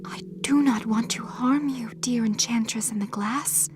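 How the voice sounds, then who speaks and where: Woman voicing a high, hushed, pleading young lady. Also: unhurried, noisy background, close to an everyday voice. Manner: hushed, whispery, formal polite pleading. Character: gentle, polite young lady, timid and pleading. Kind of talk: storybook audiobook character.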